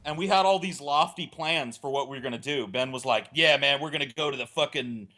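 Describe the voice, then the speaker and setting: A man's voice: mid-range voice, fairly quick. A man telling a crude, rambling comic story on a casual podcast, acting out what was said. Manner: rambling, crude, animated storytelling with acted-out quotes. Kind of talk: casual comedic anecdote.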